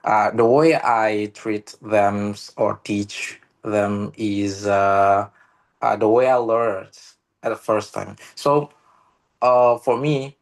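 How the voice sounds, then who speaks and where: Slow, halting Indonesian man. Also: on the low side, steady tone. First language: Indonesian local language. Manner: halting, many "uh" pauses and restarts. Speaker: meeting participant. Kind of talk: casual online discussion. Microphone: video call.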